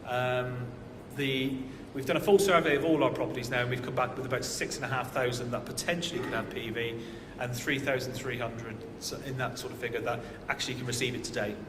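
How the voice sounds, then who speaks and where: A man's voice: mid-range voice, steady tone, fairly quick, hardly any pauses. A man answering audience questions at a conference, hesitant with many fillers. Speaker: housing association manager answering questions. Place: conference hall. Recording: echoey hall with audible noise.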